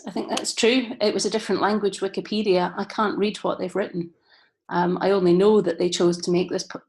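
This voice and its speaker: A woman's voice: lowish voice, creaky, a little mellow. A woman from southeastern Scotland with a Scottish accent, speaking thoughtfully in a workshop discussion over a video call and searching for her words. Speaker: workshop participant. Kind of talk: reflective discussion.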